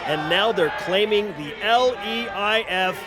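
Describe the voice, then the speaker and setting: A man playing an excitable stadium announcer. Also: sits high, measured pace. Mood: proud. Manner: excitable, exclaiming. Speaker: sports announcer. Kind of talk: play-by-play commentary in an audio drama. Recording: stadium crowd and music behind. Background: roaring crowd and music.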